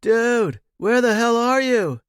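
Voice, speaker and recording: A man voicing a brash young party buddy in audio fiction, loud and slangy, teasing and egging a friend on. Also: very high voice, expressive, smooth, no room sound, studio quality, voice pushed up, full character voice. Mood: impatient, excited. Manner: shouting over crowds, slangy, drawn-out words. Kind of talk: audio fiction character acting.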